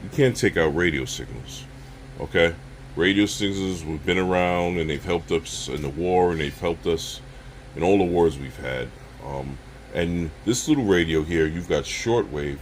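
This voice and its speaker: A man casually demonstrating a product as a reviewer, speaking haltingly and addressing viewers directly. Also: deep voice, a little hiss or hum.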